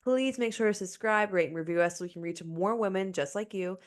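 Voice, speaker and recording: A woman's voice: medium pitch, a little fast. A young woman co-hosting a podcast in casual chat, speaking close to a microphone in a small room. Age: young adult. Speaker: podcast co-host. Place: small indoor room, remote video recording.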